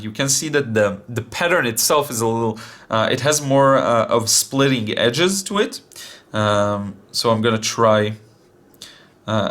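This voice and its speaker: A young man demonstrating watercolor paints, talking casually with many fillers, his voice still a little deep after being sick. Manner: relaxed, thinking aloud with many fillers. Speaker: art channel host.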